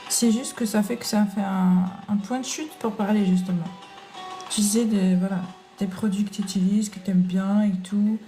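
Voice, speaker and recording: A woman's voice: medium pitch, fairly even intonation, normal speed, mellow. A woman with a foreign accent hosting a casual live shopping stream, chatting with viewers she calls family and reading their comments aloud. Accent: non-French accent. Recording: praise music playing behind.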